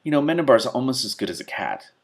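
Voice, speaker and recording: A man's voice: medium pitch, sing-song, quick pace, voice pushed up. A man voicing a vain male storybook cat, breezy, digressive and self-satisfied. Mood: smug. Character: smug, vain male talking cat telling tales.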